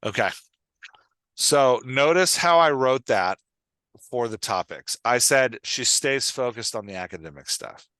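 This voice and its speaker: A man with a bright, forward voice and a mid-range pitch talks like a coach on a podcast. He explains in a conversational, instructive way, thinking aloud and checking for understanding. The sound is close and dry. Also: animated, unhurried, dry recording. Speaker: podcast host and writing coach.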